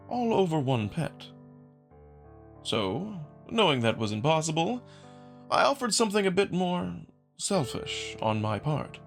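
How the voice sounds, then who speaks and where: A man voicing a genteel, scheming male jackal noble in a visual novel, with a high, soft voice and formal, measured diction. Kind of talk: visual novel dramatic reading.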